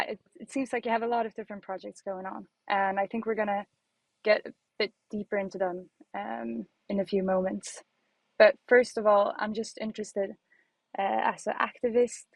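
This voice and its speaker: Upbeat young woman with a creaky voice. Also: mid-range voice, dry recording. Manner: upbeat, laughs easily. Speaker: podcast host, project coordinator. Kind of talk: friendly interview hosting.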